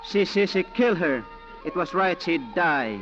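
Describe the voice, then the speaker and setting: A very high-voiced servant, fearful and superstitious, pleading in halting broken English. It is 1940s radio drama, dull and muffled, with music clearly behind. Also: male voice, lively intonation, fairly bright tone. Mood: agitated.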